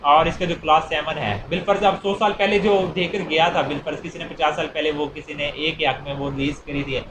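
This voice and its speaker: A man's voice: a little high, steady tone, normal speed. Echoey, noisy recording of Pakistani man lecturing. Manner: didactic, repetitive step-by-step explaining. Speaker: law lecturer. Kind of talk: explanatory lecture with worked examples. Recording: echoey room with clear background noise.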